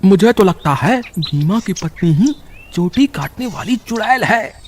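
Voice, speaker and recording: A man voicing an alarmed, gossiping villager in an animated horror story. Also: sits high, measured pace, a little hiss or hum.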